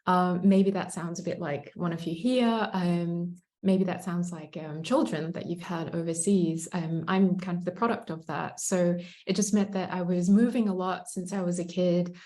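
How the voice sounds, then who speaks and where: A woman from Singapore speaking thoughtfully as a mediator on a panel, pausing often with ums. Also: on the low side, steady tone, dark tone. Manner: thoughtful, many pauses and ums. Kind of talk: reflective panel talk.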